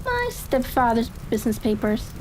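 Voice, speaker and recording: Storybook client, genteel young woman, as a reader plays her, helpful and mystified, high voice with faint noise behind. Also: sing-song, moderate pace, darkish tone. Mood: helpful, surprised. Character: polite, puzzled young Victorian lady, a client.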